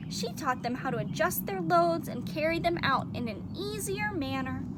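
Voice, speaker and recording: Woman, high voice, children's story read-aloud, friendly simple delivery, faint noisy room ambience. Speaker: story reader.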